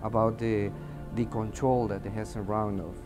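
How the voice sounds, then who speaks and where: Male witness, native Spanish speaker with Mexican accent, lowish voice, halting testimony delivery, music clearly underneath. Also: little pitch movement. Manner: halting, hesitant, searching for words in non-native English. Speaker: air traffic controller giving witness testimony. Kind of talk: interview testimony. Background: background music.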